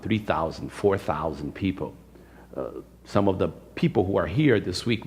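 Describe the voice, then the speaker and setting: Male pastor, fifties, Brazilian accent, low gravelly voice, emphatic sermon delivery with repeated phrases. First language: Portuguese. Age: 50s. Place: church sanctuary. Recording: reverberant hall, live-streamed church service.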